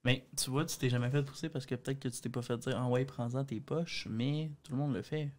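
A man's voice: mid-range voice, fairly even intonation, a little fast, a little mellow. A young man with a Quebec French accent, talking casually as a panelist in a discussion. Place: small radio studio. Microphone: studio boom microphone.

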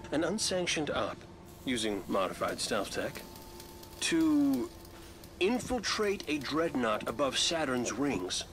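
A wisecracking robot hunter. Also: male voice, fairly high pitch, fairly bright tone, slight background noise, full character voice. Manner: joking, sarcastic, drawling. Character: wisecracking robot male hunter, joking, laid-back. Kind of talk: video game cutscene acting.

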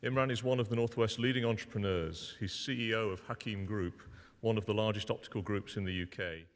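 A man's voice: fairly low pitch, fairly even intonation, a little fast. A man formally introducing an award recipient at a ceremony. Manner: formal, announcing. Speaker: ceremony presenter. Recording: distant with long hall reverb.

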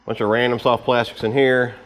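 A man in his thirties giving a casual, unscripted walkthrough to camera in an informal, rambling way, with pauses as he handles gear. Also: mid-range voice, little pitch movement, fairly bright tone, slight background noise, rough recording. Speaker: vlogger giving a boat tour. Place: home garage with the door open.

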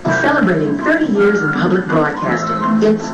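An actor playing a polished announcer for a children's show on public television. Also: male voice, high voice, huge pitch swings, normal speed, roomy sound, rough recording. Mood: upbeat, formal. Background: loud theme music.

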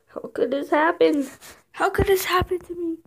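A woman voicing her own hero in an amateur game roleplay, excitable and breaking into high shouted outbursts. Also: moderate pace, slight background noise. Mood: distressed. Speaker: roleplay creator and narrator.

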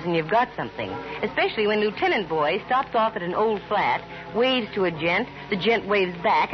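A woman's voice: mid-range voice, natural voice. An actress playing a sassy, wisecracking female private eye in a 1950s radio detective drama, with a wry, slangy delivery. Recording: muffled, very hissy old radio recording.

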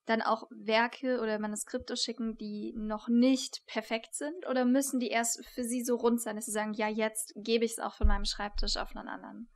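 A woman's voice: fairly high pitch, flat delivery, moderate pace, hardly any pauses. A woman in her thirties co-hosting an interview, speaking conversationally into a desk microphone. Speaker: co-host, lecturer. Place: indoor room, on a video call.

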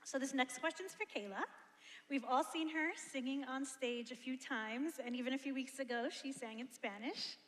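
A woman's voice: sits high, normal speed, a bit bright. A woman co-hosting an interview on a church stage, putting questions to a guest in a friendly way. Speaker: interview co-host. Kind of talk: casual interview chat. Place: church stage, large hall. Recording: long hall reverb.

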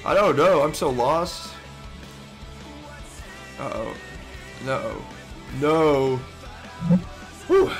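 Hoarse young man reacting excitedly. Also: a little high, extremely slow, pauses often, soft background music. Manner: excitable, swears often, stammers and laughs. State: hoarse, voice nearly gone. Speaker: YouTuber reacting to a gaming montage. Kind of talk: casual reaction commentary. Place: bedroom indoors. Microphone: over-ear headset.

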